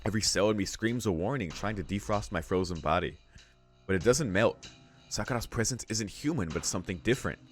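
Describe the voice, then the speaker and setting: A man with a low voice hosts a visual novel read-through. He reads the narration aloud and comments casually. Soft game music sits behind him. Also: lively intonation, a little fast. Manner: casual, swearing asides between read lines. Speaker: let's play host, reader.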